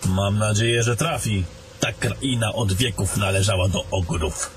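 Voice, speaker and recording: A man's voice: lowish voice, measured pace, faint music behind, close to an everyday voice. A man playing a travelling adventurer in a fantasy audio drama. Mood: matter-of-fact. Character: fantasy adventurers, gruff travelling men and a mage.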